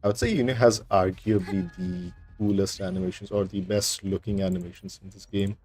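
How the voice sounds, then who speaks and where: A man's voice: low voice, steady tone, brisk, darkish tone, slightly rough. A man streaming games, giving casual, rambling, laid-back commentary on his play. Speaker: game streamer. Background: faint game music.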